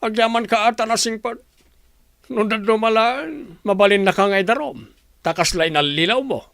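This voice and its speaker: A man's voice: high-pitched, moderate pace, vocal fry, fairly bright tone, dry recording, clean studio sound. A man with a Filipino accent playing a stern, fair-minded father in a comedy radio drama, admonishing and questioning with emphasis. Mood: indignant scolding. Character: stern, fair-minded father scolding his grown son.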